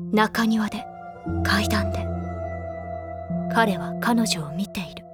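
A woman playing an ominous, brooding narrator of a ghost story in an audio drama, with hushed delivery. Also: mid-range voice, lightly acted. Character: ominous female narrator of a haunting ghost tale.

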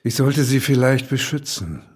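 An actor playing a brawny, cryptic village pub landlord in an audio drama, terse and deadpan with a slightly eerie air. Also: male voice, mid-range voice, moderate pace, breathy edge. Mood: ominous. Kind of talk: German audio drama acting.

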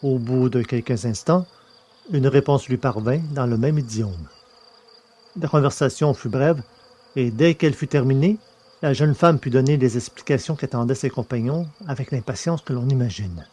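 A man's voice: medium pitch, normal speed, a little mellow, husky. A man hosting and narrating an audio fiction podcast in a scripted reading style.

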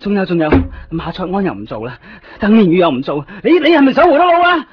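A man's voice: high-pitched, wildly swooping pitch, fast. A man playing a fussy, penny-pinching office manager in a radio drama, nagging with repeated questions. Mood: frantic, exasperated. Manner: nagging, repetitive rhetorical questions. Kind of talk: Cantonese radio drama acting. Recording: muffled lo-fi radio recording, faint music.

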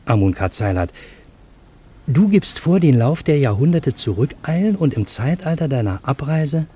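Mellow, husky, urbane Parisian narrator. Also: male voice, mid-range voice, big pitch swings, normal speed. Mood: bewildered. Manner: urbane, wry, literary storytelling. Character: genial, bewildered Parisian man narrating a fantastic encounter. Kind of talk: German radio play narration and dialogue.

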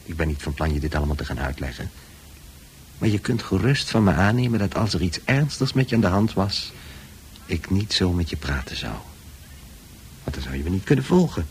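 A man acting a calm, authoritative psychiatrist in a radio drama, speaking in a measured, reassuring way. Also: low voice. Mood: calm, firm. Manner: measured, reassuring, hypnotically slow at times. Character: calm, authoritative male psychiatrist soothing a patient. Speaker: actor in a radio play. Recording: noisy old radio play recording.

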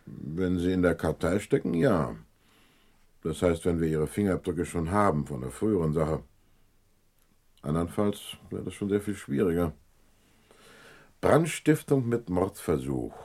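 A police inspector in a vintage radio crime drama. His voice is low, and his delivery is measured and official. He is courteous on the surface, stern and methodical underneath. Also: lively intonation, darkish tone, exaggerated voice. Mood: stern, insinuating. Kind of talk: vintage German radio crime play acting.

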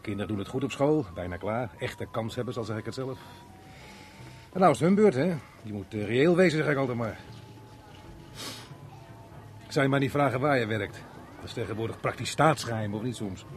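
A man's voice: medium pitch, fairly quick, long pauses, soft background music, natural voice. A man acting in a Dutch radio play as a jovial, wry, middle-aged unemployed chatterbox who jokes with his neighbour. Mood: chatty, wry. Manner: chatty, joking, chuckling, wry.

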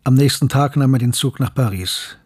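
An actor playing a grave scientist who narrates his memories of wartime tragedy in a sombre, measured way for a radio drama. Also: medium pitch, darkish tone, breathy edge, lightly acted. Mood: grave, bleak.

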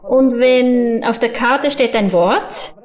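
Casting: female interpreter with a high-pitched voice, giving consecutive translation in short phrases over faint music. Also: slow pace, a little mellow.

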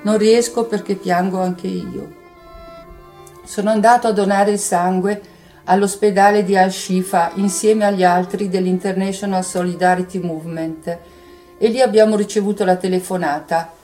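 Woman reading, soft music behind. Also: medium pitch, fairly even intonation, moderate pace. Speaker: reader. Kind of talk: literary reading of a war diary.

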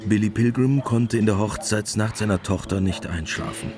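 A man's voice: fairly low pitch, normal speed. A man narrating a radio drama in a scripted literary style. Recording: clear music bed behind.

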